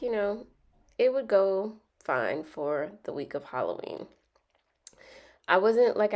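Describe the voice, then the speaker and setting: A woman's voice: medium pitch, a little mellow. A young woman with a Southern accent, stuffy and a bit nasal from a cold, chatting casually as she narrates her planner video, rambling with many ums and likes.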